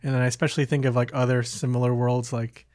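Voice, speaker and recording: A man with a mid-pitched voice talks casually, like a podcast host. He feels his way through thoughts aloud, rambling and hesitant, in a very dry recording with no room sound. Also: level tone, fast talker, darkish tone, no room sound. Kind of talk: casual chat.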